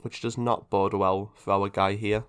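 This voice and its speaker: A man's voice: on the low side, flat delivery, a little slow, noisy background. A man narrating an explanatory video essay from a script, with casual asides.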